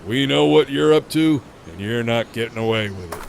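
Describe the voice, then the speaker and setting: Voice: mid-range voice, expressive, moderate pace, gravelly, slight background noise, voice pushed down. A narrator voicing a suspicious, bullying middle-aged father who makes hostile demands.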